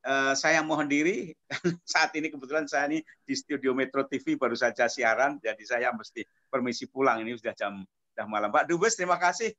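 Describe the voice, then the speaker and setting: A man's voice: medium pitch, a little fast, bright, forceful tone. A man, a guest speaker talking informally in an online meeting. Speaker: guest professor signing off. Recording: dull, lo-fi video call audio.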